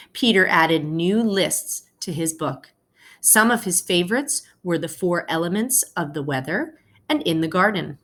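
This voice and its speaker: Woman, slightly low voice, teacher, children's read-aloud, explanatory, careful storybook phrasing. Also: fairly bright tone. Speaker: teacher reading aloud to students. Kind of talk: read-aloud of a children's picture book with informal asides.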